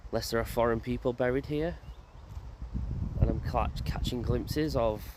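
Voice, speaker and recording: A man's voice: mid-range voice, normal speed. A man vlogging in a graveyard, musing aloud and asking himself questions as he walks. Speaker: paranormal investigator vlogger. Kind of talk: casual walking commentary. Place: outdoors in a graveyard. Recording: loud outdoor noise, passing motorbike.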